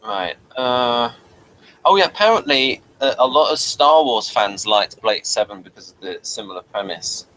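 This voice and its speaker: A British man hosting a casual review chat, moving haltingly from one point to the next. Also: medium pitch, lively intonation, bright, forceful tone, slight background noise, low-quality recording. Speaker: host of a TV review show.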